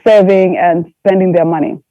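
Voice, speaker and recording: A man from Tanzania, a panelist speaking in a measured, earnest way in a casual group discussion. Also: high voice, fairly even intonation, no echo, muffled recording.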